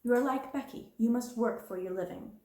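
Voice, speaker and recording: A woman's voice: fairly high pitch, a little fast, darkish tone, roomy sound, close to an everyday voice. A woman voicing a harsh, domineering middle-aged schoolmistress in a storybook reading, cold and scornful. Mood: commanding.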